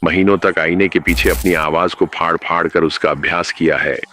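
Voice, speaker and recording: A man with a very low, deep voice tells a scripted horror story in an ominous tone. He speaks straight to the listener, warning them step by step. A faint hiss of noise sits under the voice. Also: fairly even intonation, moderate pace, pushed and bright. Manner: ominous, addresses the listener directly. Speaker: narrator.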